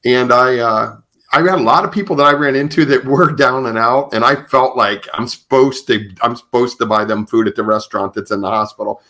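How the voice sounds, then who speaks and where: A man hosting a podcast, telling stories conversationally in a halting way with repeated phrases. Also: mid-range voice, bright, forceful tone. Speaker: podcast host.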